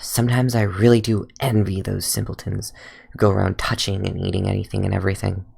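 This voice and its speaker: Young man doing a dry-witted fox-eared game ranger, gravelly fry, sardonic, calm. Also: deep voice, fairly quick, exaggerated voice. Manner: dry, sardonic, with soft musing asides. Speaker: fan voice actor. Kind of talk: fan redub of game voice lines.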